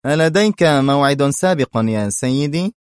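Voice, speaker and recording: A man's voice: medium pitch, sing-song, brisk, no room sound, studio quality, pitched above the performer's own voice, natural voice. A man voicing a fidgety, nervous associate who speaks in a tense, edgy way.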